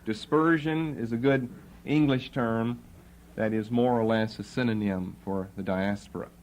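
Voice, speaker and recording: A man giving a Bible lecture to a class, spelling out words letter by letter and repeating key terms slowly. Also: medium pitch, fairly even intonation, a little mellow, a little hiss or hum. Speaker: Bible teacher. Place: classroom with students writing.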